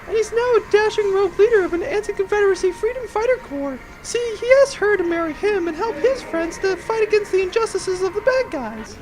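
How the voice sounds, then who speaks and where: Woman acting a very high-voiced earnest young pilot. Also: poor audio. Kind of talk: comedic audio drama acting.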